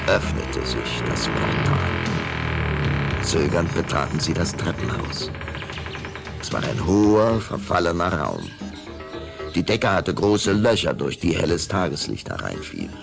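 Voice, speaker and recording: A man narrating a scripted radio play with suspenseful, deliberate, dramatic storytelling. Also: rumbling low, creaky.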